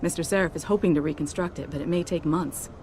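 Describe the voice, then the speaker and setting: A woman's voice: deep voice, steady tone, fast, a little mellow, lightly acted. Woman doing a young game pilot lady, casual n straight-talking, friendly, bit of engine noise. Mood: frank, matter-of-fact. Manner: casual, frank. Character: confident friendly young female company pilot, candid. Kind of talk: video game voice acting.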